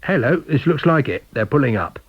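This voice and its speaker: A man's voice: medium pitch, sing-song, fairly quick, husky, no echo, muffled recording, voice pushed up. A man voicing a practical, plain-spoken police inspector with a matter-of-fact, rueful manner. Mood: businesslike.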